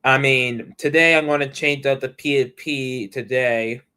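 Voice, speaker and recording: Rambling young male livestreamer, smooth voice. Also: mid-range voice, steady tone, measured pace, bright, forceful tone. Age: young adult. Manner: rambling, restarts sentences often. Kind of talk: casual livestream chat.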